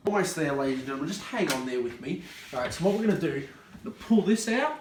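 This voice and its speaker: A brash young Australian man hosts a mock cooking show with a slightly high voice. He plays the professional and flares up at his helper. The echoey room and the mic some way off keep him distant. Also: expressive, quick pace, background noise. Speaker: comic cooking show host.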